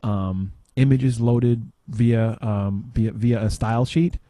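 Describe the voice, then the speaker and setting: A man giving an informal software demo, halting and tangential as he thinks aloud in casual talk. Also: on the low side, steady tone, dry recording, clean studio sound. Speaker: podcast panelist demonstrating software.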